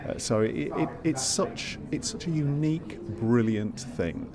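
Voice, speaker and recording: A man's voice: mid-range voice, measured pace. A writer speaks in a mid-range voice, full of enthusiasm, often tripping over his words as he explains himself. Crowd chatter from the event surrounds him. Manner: enthusiastic, rambling with restarts. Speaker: panto writer. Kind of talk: casual interview answers. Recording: crowd noise around the mic.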